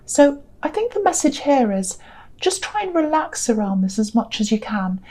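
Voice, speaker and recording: A tired woman with a croaky voice giving a gentle, reassuring tarot reading in a casual, rambling way. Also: fairly high pitch, big pitch swings, normal speed. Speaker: tarot reader.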